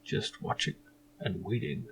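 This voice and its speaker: A man voicing a cryptic, serene stranger in an adventure game, speaking in a slow, hushed, enigmatic way. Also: background noise, natural voice.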